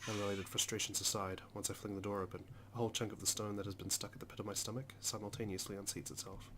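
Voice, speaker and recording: A man's voice: on the low side, little pitch movement, fast, background noise. A man narrating a visual novel as he plays, reading the story aloud with casual asides.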